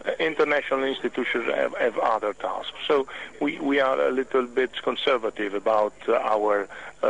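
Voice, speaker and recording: A man with an Italian accent, a native Italian speaker, answering radio interview questions slowly as he searches for words. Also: mid-range voice, gravelly, a bit bright, low-quality recording. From Italy. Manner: halting, searching for words in a second language. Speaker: hobby club official, interview guest.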